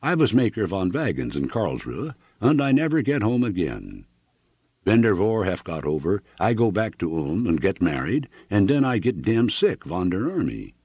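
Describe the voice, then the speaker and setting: A man's voice: fairly low pitch, sing-song, normal speed, breathy edge, dry recording, pitched above the performer's own voice, full character voice. A man voicing a middle-aged German immigrant farmer with a heavy German accent, speaking broken English full of German exclamations. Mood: reminiscing. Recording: dull dry lo-fi recording.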